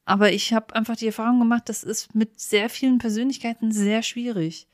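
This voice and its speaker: A woman with a fairly high voice talks casually as a podcast co-host. She is candid and self-effacing, and the sound is dry with little room in it. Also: fairly even intonation, brisk, no room sound. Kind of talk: casual chat.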